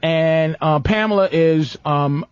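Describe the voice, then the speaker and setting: A man's voice: a little high, slow, lo-fi sound, very noisy. A man hosting a talk show, debating in a blunt, profane, unscripted comedic way and cutting in often. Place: dry radio studio.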